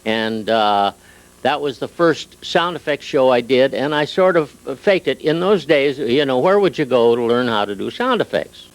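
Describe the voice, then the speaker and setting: An older man reminiscing in an interview, with a halting, unhurried manner. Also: mid-range voice, expressive, noisy background. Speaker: retired radio sound effects artist.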